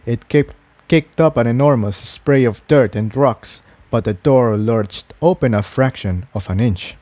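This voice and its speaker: Mellow male storybook narrator. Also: mid-range voice, unhurried, dry recording. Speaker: narrator, fan fiction reader.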